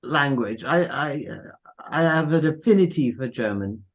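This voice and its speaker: A woman speaking as a guest on an online chat, giving advice deliberately and encouragingly, with occasional stumbles. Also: low-pitched, animated. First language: English. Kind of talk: casual conversational advice.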